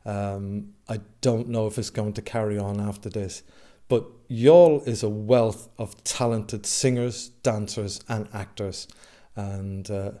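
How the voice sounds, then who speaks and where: An Irish man gives a casual interview in a low, mellow voice. His delivery is reflective and slightly hesitant. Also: fairly even intonation, unhurried, few pauses. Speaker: amateur actor, interviewee.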